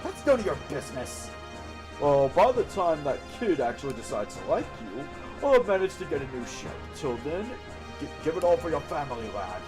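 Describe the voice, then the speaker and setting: A man voicing a gruff, stubborn, teasing old sea captain from a video game, reading the lines aloud in character. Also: a little high, big pitch swings, normal speed, music in the background. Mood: proud, brash.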